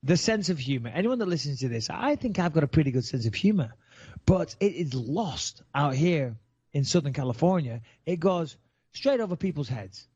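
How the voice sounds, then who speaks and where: A man's voice: on the high side, very animated, moderate pace, a little mellow, breathy edge. A middle-aged man with a northern English accent, hosting a podcast in casual, sarcastic, swearing chat. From England. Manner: sarcastic, joking, swears freely. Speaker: podcast host. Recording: dry, dull studio podcast sound.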